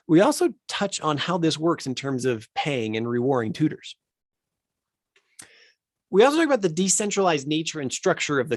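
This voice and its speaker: A man's voice: a little high, no echo, clean studio sound. A man hosting an education podcast, reading a scripted intro and then chatting with enthusiasm and frequent restarts. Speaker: podcast host.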